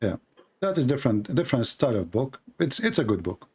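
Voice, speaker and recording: A man's voice: medium pitch, sing-song, brisk, darkish tone, slightly rough. A man hosting a call-in show, talking in a rambling way with many fillers and restarts. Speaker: host of a call-in debate show. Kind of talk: casual call-in talk.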